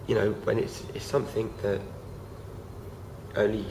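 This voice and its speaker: A young man, croaky from feeling unwell, talking casually to camera with many fillers and restarts. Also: on the low side, little pitch movement, moderate pace. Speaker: vlogger. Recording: noisy home recording.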